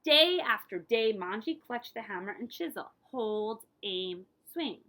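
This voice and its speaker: Animated woman reading to children. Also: on the high side, unhurried. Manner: dramatic emphasis on key words and sound effects. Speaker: school librarian reading a picture book aloud. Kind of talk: expressive children's read-aloud with explanatory asides.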